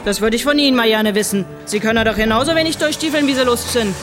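An actress playing a cheeky, no-nonsense woman working on a hospital ward in a radio drama, brisk and colloquial. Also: fairly high pitch, music in the background, close to an everyday voice. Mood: stern. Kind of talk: German radio drama acting.

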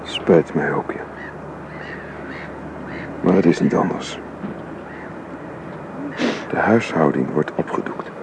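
An actor playing a grave, troubled father in a radio drama, speaking in a halting, solemn manner. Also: rumbling low, gravelly, exaggerated voice. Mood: regretful, firm. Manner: halting, solemn, repeats himself. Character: grave, troubled widowed father dismissing his housekeeper. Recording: dull, noisy old radio recording.

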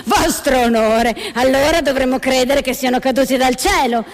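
A woman's voice: sits high, steady tone, normal speed, natural voice. A woman playing a pompous, exasperated male church inquisitor in a satirical puppet play, accusatory and formal. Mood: indignant. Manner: accusatory, indignant, formal courtroom address. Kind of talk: satirical stage puppet theatre. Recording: reverberant theatre hall.

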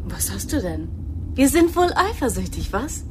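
An actress playing a defiant, sarcastic young woman in a radio drama, with a husky voice and a challenging, emotional manner. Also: a little high, sing-song, normal speed, a little hiss or hum. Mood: mocking.

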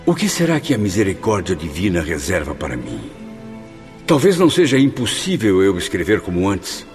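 An earnest pious patient in a radio play, deep voice, heartfelt, moves around a lot. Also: male voice, huge pitch swings, normal speed. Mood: hopeful, pensive. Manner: heartfelt, formal, contemplative. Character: earnest, devout male patient finding hope. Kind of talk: radio drama acting. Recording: faint music behind. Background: soft background music.

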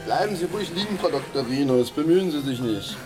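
An actor playing a sardonic police detective in a radio drama, teasing and wryly polite. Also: male voice, on the high side, animated, fast talker, loud music behind the voice, natural voice, rough recording.

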